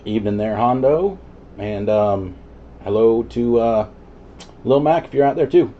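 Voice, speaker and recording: A man's voice: mid-range voice, animated, measured pace. A man chatting casually as a CB radio operator. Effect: CB radio transmission. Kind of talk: casual chat over CB radio. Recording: muffled CB radio audio with static. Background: radio static.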